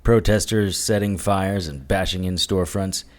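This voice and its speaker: Brief: actor as a weary male hero, sardonic and dry, lowish voice. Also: steady tone, moderate pace, natural voice. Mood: narrating. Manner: dry, wisecracking. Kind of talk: audio drama acting and narration.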